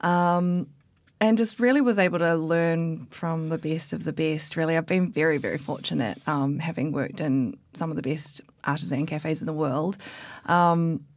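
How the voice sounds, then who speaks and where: A woman's voice: low-pitched, steady tone, normal speed, dry recording. A woman from New Zealand, a coffee expert, chatting casually in an interview with lots of fillers and restarts.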